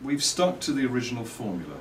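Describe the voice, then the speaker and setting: A man's voice: mid-range voice, very animated, fast. Middle-aged Englishman in a roomy, distant recording. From England. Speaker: company owner and loudspeaker designer being interviewed. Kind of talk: reflective interview answers with anecdotes. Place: indoors at a trade show. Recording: roomy, distant mic, faint background noise.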